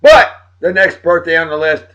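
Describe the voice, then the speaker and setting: Hoarse, upbeat New England man. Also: on the high side, lively intonation, normal speed, sharp tone. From Massachusetts. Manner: upbeat, hype-filled and chatty, with throat clearing. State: hoarse, losing his voice. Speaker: video blog host. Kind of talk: casual beer review vlog.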